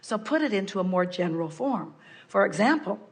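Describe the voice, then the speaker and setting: An older woman giving a measured, explanatory seminar talk, referring to herself in the third person. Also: medium pitch, expressive. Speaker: spiritual speaker answering a guest. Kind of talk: live seminar channeling talk.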